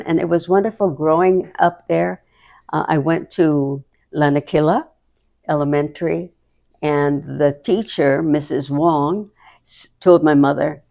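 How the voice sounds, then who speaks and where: A woman's voice: low voice, vocal fry, mellow. A woman in her nineties with a Hawaiian accent, chatting and reminiscing as an interview guest, laughing often. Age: 90s. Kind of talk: reminiscing conversation.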